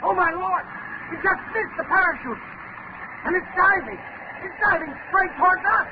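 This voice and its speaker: An actor playing a folksy, animated airfield serviceman in a 1930s American radio drama. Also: extremely high pitch, normal speed. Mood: alarmed. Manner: colloquial, animated. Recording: noisy, narrow-band 1930s radio recording.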